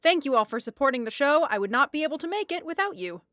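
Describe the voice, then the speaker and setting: Animated high-voiced woman hosting a podcast. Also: quick pace, no echo, clean studio sound. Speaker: podcast creator and host. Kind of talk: scripted thanks and patron appeal.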